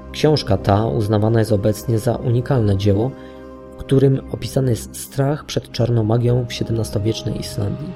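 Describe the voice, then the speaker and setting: A man narrating a scripted historical audio tale in a measured, literary storytelling manner. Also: mid-range voice. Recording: narration over background music. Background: music.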